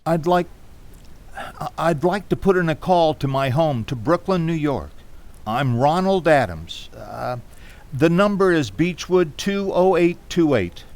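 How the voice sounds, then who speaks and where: A woman playing a frightened, haunted man driving alone in a radio play, halting and repeating words under strain. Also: deep voice, animated, darkish tone. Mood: anxious, halting. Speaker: community theater actor.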